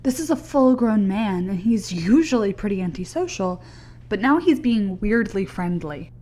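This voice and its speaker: A young woman playing a skeptical science graduate student in an audio drama, measured, dry and polite. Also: medium pitch, animated, warm tone, lightly acted. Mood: skeptical, matter-of-fact.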